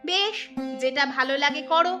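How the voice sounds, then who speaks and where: A woman's voice: high voice, moderate pace, bright, forceful tone. A woman voicing a sarcastic, scolding village shopkeeper's wife in a cartoon story. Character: nagging, sharp-tongued village shopkeeper's wife. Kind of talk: Bengali cartoon story dialogue. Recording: clear music bed behind voice.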